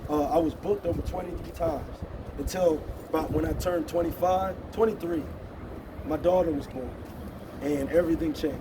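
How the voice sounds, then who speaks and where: A man's voice: a little high, moderate pace, distant mic, background noise. A man in his thirties giving a blunt, impassioned speech outdoors at a protest, often asking "you see what I'm saying". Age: 30s. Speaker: protest organizer. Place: outdoors on a city street.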